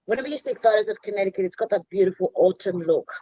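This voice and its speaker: A woman's voice: mid-range voice, expressive, very fast, mellow, husky, dry recording, highs cut off. A woman chatting over a video call, friendly, often answering with short agreeing replies. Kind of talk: casual video-call chat.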